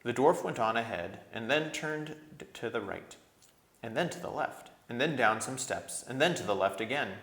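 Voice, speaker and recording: Animated male storyteller in an echoey room. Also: mid-range voice, normal speed. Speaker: storyteller reading aloud. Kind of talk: animated children's book read-aloud.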